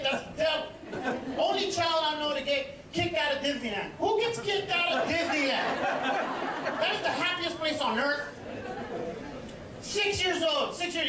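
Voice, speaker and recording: A woman with a high voice tells a stand-up story with lively, exclaiming energy. She sounds far from the mic in an echoey live venue. Loud crowd laughter and steady noise fill the background. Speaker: stand-up comedian. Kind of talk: stand-up comedy set. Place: live comedy stage. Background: loud audience laughter and noise.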